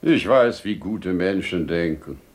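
An actor playing a wise, humane older merchant, warm and earnest, in 1950s radio-play style with theatrical, deliberate declamation. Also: male voice, deep voice, animated. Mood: reflective, gently reproving.

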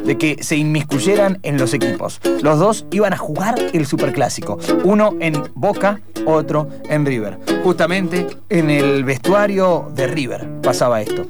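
High-voiced Argentine man narrating over music. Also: animated, normal speed. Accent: Rioplatense Argentine. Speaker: narrator of an improvised radio play. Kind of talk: dramatic radio-drama narration.

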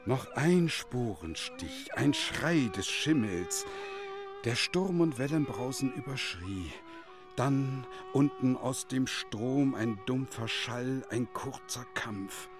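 An actor playing a brooding storyteller in a radio drama, narrating in a solemn, dramatic, literary way. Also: male voice, medium pitch, lively intonation, slow. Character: brooding male storyteller turning tragedy into a novella. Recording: loud music behind the voice.

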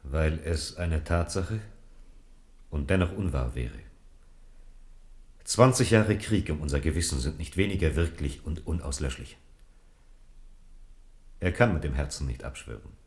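Casting: devout envoy in radio play, low register, formal, earnest, declamatory. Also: male voice, expressive, measured pace, long pauses. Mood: earnest, grave. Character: steadfast Protestant envoy to a queen, devout and earnest. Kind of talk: German radio drama acting.

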